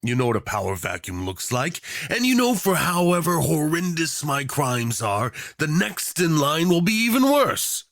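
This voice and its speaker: A man's voice: fairly high pitch, sing-song, moderate pace, few pauses, fairly bright tone, no room sound, clean studio sound, pitched above the performer's own voice. A man playing a charming, obsessive tyrant in a romantic villain roleplay, drawling theatrically with mock politeness, flirtation and playful menace. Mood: smug, menacing.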